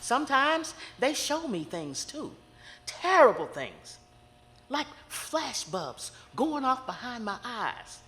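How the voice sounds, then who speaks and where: A woman playing a world-weary, brooding psychic who hears the dead in an audio horror drama, speaking intensely and confidingly with dramatic pauses. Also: fairly high pitch, very animated, a bit bright, long reverb tail, slight background noise, heavily acted. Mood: anguished, intense.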